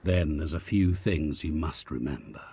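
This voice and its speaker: An actor playing a sly, courteous devil-like merchant of wishes in a video game, speaking in a smooth, genteel, softly menacing way. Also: male voice, rumbling low, moderate pace, a little hiss or hum. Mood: ominous. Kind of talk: video game cutscene dialogue.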